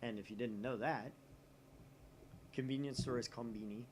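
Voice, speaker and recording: A man's voice: mid-range voice, steady tone, normal speed, a little hiss or hum. A man from the United States hosting a casual let's play, reading a visual novel aloud with jokey, opinionated asides.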